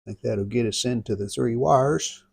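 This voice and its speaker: A man's voice: mid-range voice, normal speed, gravelly, darkish tone, breathy edge, no echo. An American man narrating hands-on repair work in a folksy, plainspoken way, thinking aloud as he goes. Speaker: host of a radio restoration channel.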